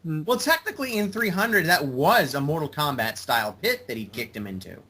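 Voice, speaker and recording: A man's voice: on the high side, lively intonation, brisk, pushed and bright, slightly rough. A man chiming in during a casual group chat.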